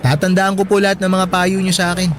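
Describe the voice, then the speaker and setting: High-voiced, respectful young son. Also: male voice, monotone, quick pace, slight background noise. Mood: earnest, grateful. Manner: polite, gentle, deferential. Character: respectful, caring college-student son, earnest and worried. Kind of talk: Filipino radio drama acting.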